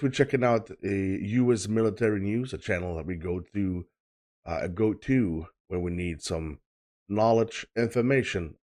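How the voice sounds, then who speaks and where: A man's voice: sits low, a little mellow. A young man from Sweden hosting a reaction video, talking casually and loosely with lots of fillers and repeated "of course".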